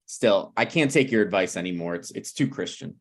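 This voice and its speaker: A man's voice: low-pitched, expressive, fairly quick. A man playing an awkward, meddling friend in a sitcom table read, hesitant and apologetic yet blunt. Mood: defensive. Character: awkward, opinionated, meddling male friend of a married couple. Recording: online video call table read.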